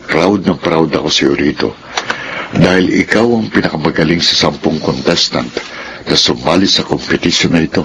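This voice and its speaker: A middle-aged Filipino dad in a radio drama. His voice sits very low, grave and earnest, stern yet loving, over clearly audible noise. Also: normal speed. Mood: tender, firm. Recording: noisy radio drama recording.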